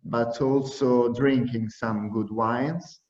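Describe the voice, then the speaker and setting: A man in his thirties from Italy introducing himself in an online meeting, slow and hesitant. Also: mid-range voice, steady tone, a little mellow. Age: 30s. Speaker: meeting participant. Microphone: video call. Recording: dull echoey video call audio.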